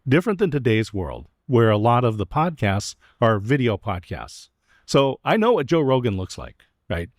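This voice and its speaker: A man hosting an interview, leading the talk with thoughtful, considered questions. His pitch moves with a lively range. The voice sounds dry and close to the mic. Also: moderate pace, no room sound. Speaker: host. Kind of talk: interview host. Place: stadium club room.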